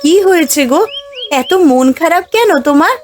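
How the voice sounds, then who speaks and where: High-voiced scolding village wife played by a woman. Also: lively intonation, moderate pace, slight background noise. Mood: concerned. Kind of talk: cartoon fairy-tale voice acting.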